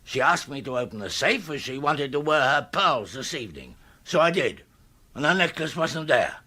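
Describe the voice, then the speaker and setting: An actor playing an exasperated older upper-class English uncle in a radio comedy, grumbling and agitated, with a husky voice. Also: male voice, medium pitch, sing-song, moderate pace, pushed and bright. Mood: agitated, indignant. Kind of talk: radio comedy drama acting.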